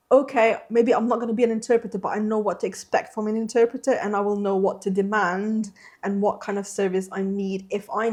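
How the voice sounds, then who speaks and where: A woman's voice: mid-range voice, darkish tone. A woman whose first language is Slovene, answering interview questions on a podcast in a thoughtful, self-deprecating way with many fillers. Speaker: interpreter trainer.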